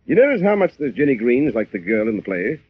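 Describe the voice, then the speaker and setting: An actor playing a businesslike, suspicious police commissioner in an old radio drama. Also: male voice, mid-range voice, very animated, fast talker, slight background noise, close to an everyday voice. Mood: probing. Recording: muffled, phone-like old radio recording.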